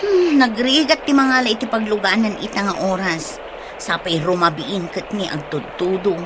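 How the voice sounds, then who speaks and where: An actress playing an outspoken, exasperated young best friend in a radio drama, with an Ilocano accent. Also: female voice, medium pitch, normal speed, noisy background, natural voice.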